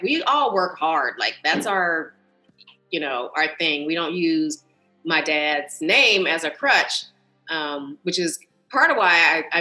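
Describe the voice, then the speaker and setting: An American woman in her early fifties chatting casually and animatedly on a video call, telling family anecdotes as a talkative podcast guest. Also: deep voice. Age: early 50s. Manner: animated, talkative, quick to laugh. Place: indoors, on a Zoom video call. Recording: roomy, echoey video call.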